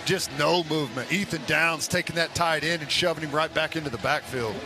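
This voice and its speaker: A man's voice: a little high, a little fast, crowd noise. A man giving conversational analysis of each play during a live football radio broadcast. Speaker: radio color commentator. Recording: noisy stadium broadcast.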